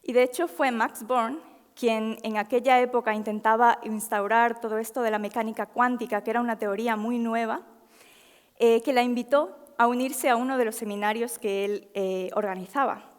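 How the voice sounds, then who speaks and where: Young woman scientist giving a talk: fairly high voice, earnest, with small filler pauses, reverberant hall. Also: steady tone, smooth. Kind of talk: public talk to an audience. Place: lecture hall.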